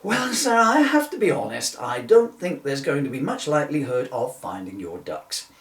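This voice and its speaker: A man's voice: mid-range voice, very animated, normal speed. A man playing an exasperated, sarcastic police constable in a comic reading, officiously polite with fraying patience. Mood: incredulous. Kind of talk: comic two-hander read from a book. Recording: distant, echoey room recording.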